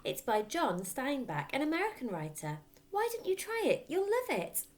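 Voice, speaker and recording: A sweet little girl from a storybook, acted by a woman. She speaks politely and earnestly in a high voice. The pitch rises and dips with great animation. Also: huge pitch swings, normal speed. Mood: pleasant, eager. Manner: pleasant, pleading, eager.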